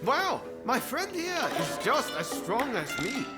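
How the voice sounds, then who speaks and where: An actor playing an easygoing, friendly young samurai reformer in a video game, casual and easily flustered. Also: male voice, very high voice, sing-song, unhurried, loud music behind the voice, heavily acted. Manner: casual, friendly, hesitant when cornered. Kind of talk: video game cutscene acting.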